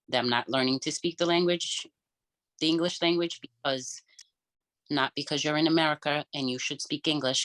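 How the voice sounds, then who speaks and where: A woman whose first language is Cape Verdean Creole talks in a casual podcast chat. Her voice is bright and sharp, and it is recorded dry and close with no room sound. She thinks aloud and pauses often. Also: extremely low pitch, no room sound, rough recording. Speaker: podcast co-host. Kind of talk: casual personal conversation.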